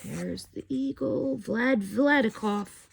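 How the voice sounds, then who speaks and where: A woman's voice: on the high side, slow, creaky, husky. A woman reading a children's picture book aloud expressively and chatting to young listeners about it. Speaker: storyteller.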